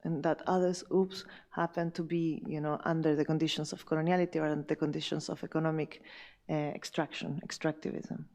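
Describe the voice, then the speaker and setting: Woman panelist, low gravelly voice, halting, thinks aloud with fillers. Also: level tone, darkish tone. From Chile. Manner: thoughtful, halting, many fillers and restarts. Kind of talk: round table discussion.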